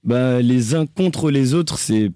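A young man from France, a singer, answering interview questions in a hesitant, halting way with many restarts. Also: mid-range voice, warm tone, dry recording, clean studio sound.

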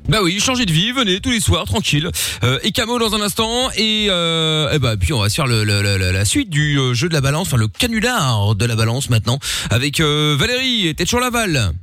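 Guy hosting late night radio, talks super fast, fillers everywhere, dry studio sound. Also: a little high, very animated, sharp tone, no echo, soft background music. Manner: fast, chatty, lots of fillers and quick agreements. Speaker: radio host. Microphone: studio microphone.

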